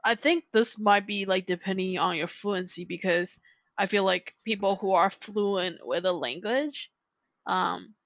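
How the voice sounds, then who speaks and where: A woman's voice: mid-range voice, measured pace, fairly bright tone, no echo, lo-fi sound. A young woman chatting casually on a podcast as a guest, rambling and filling her talk with "like". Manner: rambling, heavy use of "like". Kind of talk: casual chat.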